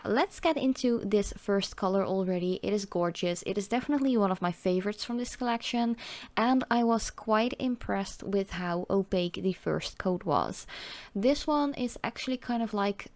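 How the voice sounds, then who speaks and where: A woman's voice: medium pitch, a little mellow, no room sound. A woman of about thirty from the Netherlands, Dutch by birth and speaking with a Dutch accent, chatting casually through a nail polish review and filling her talk with "like" and "you know". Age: 30s.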